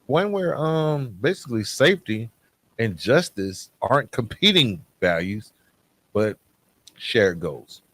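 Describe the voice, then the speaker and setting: A man's voice: medium pitch, very animated, slow, fairly bright tone, slightly rough. A man hosting a podcast monologue, speaking to listeners in an earnest, persuasive way with rhetorical questions. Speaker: podcast host.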